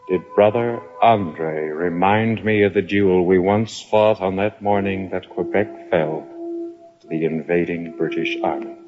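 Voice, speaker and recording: A man playing a solemn monk who looks back on his soldier past, in measured, reflective radio drama narration. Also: low voice. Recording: dull radio recording under music.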